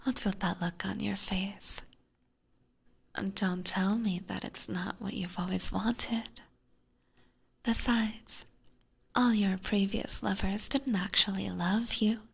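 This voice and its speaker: Woman acting a slow, whispering obsessive vampire catgirl. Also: mid-range voice, fairly even intonation, full character voice. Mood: possessive, menacing. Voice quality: breathy, mostly unvoiced whisper. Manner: slow, doting, menacingly sweet. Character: obsessive, possessive yandere vampire catgirl, clingy and lovestruck. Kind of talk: whispered ASMR roleplay. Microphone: close binaural ear microphone.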